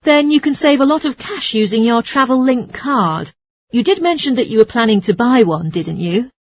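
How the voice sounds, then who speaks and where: A woman's voice: fairly high pitch, expressive, normal speed. A woman playing a polite, helpful transport information clerk who explains things in a scripted exercise dialogue. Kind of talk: scripted listening-test dialogue.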